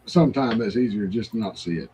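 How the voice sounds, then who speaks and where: A man's voice: on the low side, expressive, fast talker, mellow, a little hiss or hum, poor audio. A man co-hosting a casual livestream, talking in a laid-back, folksy way with short interjections. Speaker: livestream co-host. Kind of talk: casual chat.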